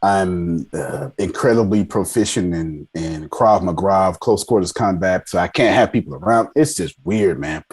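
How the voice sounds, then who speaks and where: A man's voice: low-pitched, moderate pace, few pauses, a little mellow, breathy edge. A man around forty telling his story casually as an interview guest, self-deprecating and emphatic, repeating phrases for effect. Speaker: podcast guest, army veteran. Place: indoors on a video call.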